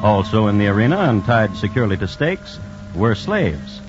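A wry connoisseur of crime narrating an old radio show. The low voice moves expressively through dry, measured storytelling, on a muffled vintage recording. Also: male voice, big pitch swings, music in the background. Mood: dry, ironic. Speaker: host and narrator.

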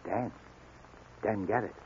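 A man acting a kindly, confident doctor and inventor in an old-time radio drama, speaking in a measured, theatrical way. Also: fairly low pitch, gravelly, a little mellow. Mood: earnest, confident. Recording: noisy, muffled vintage radio recording.

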